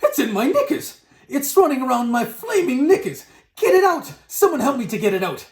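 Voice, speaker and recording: A man voicing a frantic, comically terrified male cook who yells and screams in alarm in a storybook reading. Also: very high-pitched, big pitch swings, a little fast, echoey room, voice pushed up. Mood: screaming panic.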